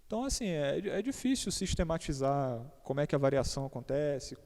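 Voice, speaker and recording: A man's voice: fairly high pitch, big pitch swings, brisk, darkish tone. A Brazilian man explaining a church topic in a conversational, hesitant way, often repeating words and adding casual asides. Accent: Brazilian Portuguese. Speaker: church teacher on a panel. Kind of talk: conversational teaching. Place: church hall. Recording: long hall reverb.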